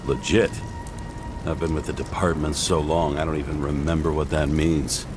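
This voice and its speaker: A man's voice: extremely low pitch, flat delivery, moderate pace, background noise. An actor playing a jaded veteran police detective in a noir video game, terse and dryly sardonic as he works through a crime. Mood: dry, weary. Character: jaded veteran male police detective, hard-boiled and wry.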